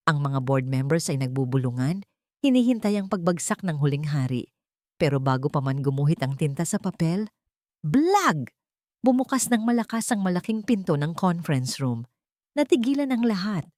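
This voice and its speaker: A woman's voice: lowish voice, a little mellow, no room sound, clean studio sound. A woman narrating a dramatic romance audiobook from a script.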